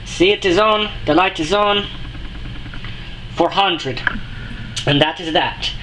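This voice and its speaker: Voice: medium pitch, slow pace, echoey room, background noise, natural voice. A comedian playing a clumsy, boastful fake French chef on a comic cooking show, using a put-on French accent that slips into Australian or British, with broken English and crude swearing. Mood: cocky. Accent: put-on French, drifting to Australian or British. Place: home kitchen.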